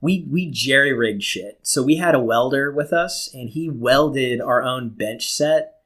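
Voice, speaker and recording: A man's voice: medium pitch, measured pace, a bit bright. A man chatting casually as a talk show co-host, telling personal stories in an informal, rambling, mildly profane way. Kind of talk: casual chat, anecdotes.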